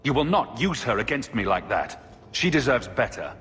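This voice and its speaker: A man's voice: medium pitch, sing-song, moderate pace, a bit bright. A man playing a firm, dryly sardonic young elder brother in a video game, terse and protective. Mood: stern. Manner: dry, terse, assertive. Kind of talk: dramatic video game acting. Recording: long reverb with background music.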